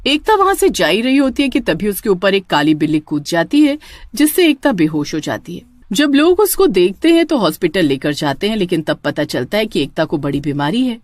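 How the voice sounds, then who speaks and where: A woman's voice: medium pitch, sing-song, normal speed, dry recording. A woman narrating a scripted story as storyteller.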